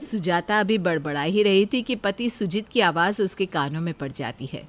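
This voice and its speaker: A woman's voice: on the low side, lively intonation, moderate pace. A woman reading scripted narration as the storyteller of a moral tale.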